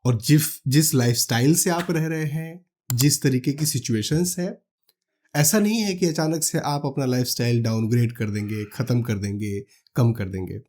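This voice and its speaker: A man from India calmly explaining retirement money planning step by step, talking the listener through numbers and examples. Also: mid-range voice, moderate pace. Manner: calm, practical, walks through numbers step by step. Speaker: finance educator. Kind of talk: explanatory talk to camera.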